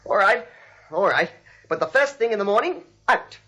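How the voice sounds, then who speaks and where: An actor playing an exasperated, outspoken nephew in a radio comedy, blunt and agitated. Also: male voice, high voice, expressive, normal speed, pushed and bright, heavily acted. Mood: indignant. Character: exasperated, outspoken nephew, indignant male writer. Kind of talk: 1940s radio comedy acting.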